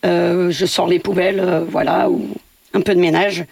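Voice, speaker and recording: A woman's voice: lowish voice, little pitch movement, normal speed, creaky, a little mellow, breathy edge, no echo. A woman from Portugal, a building caretaker speaking as a radio interview guest, hesitant with many fillers and restarts.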